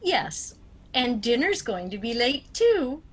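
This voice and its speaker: An actress playing a sweet, doting elderly spinster aunt in an amateur audio drama. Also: female voice, fairly high pitch, big pitch swings, slow pace, breathy edge, heavily acted.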